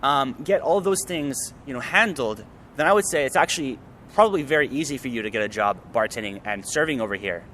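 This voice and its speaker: A man's voice: mid-range voice, fairly quick, a little hiss or hum. Chatty Canadian man outdoors. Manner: relaxed, talkative, many fillers. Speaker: host. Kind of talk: casual conversation.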